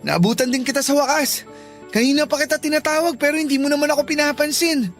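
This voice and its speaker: A man's voice: very high voice, fast, a bit bright, faint music behind. An actor playing an earnest, lovesick young college man in a Filipino radio drama, pleading and persistent, with a Filipino accent. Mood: eager, coaxing.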